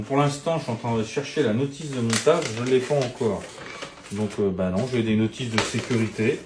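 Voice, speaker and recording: A man's voice: medium pitch, background noise. A middle-aged man chatting casually as he unboxes gear, thinking aloud and swearing when annoyed. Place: room at home. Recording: roomy echo, faint music behind.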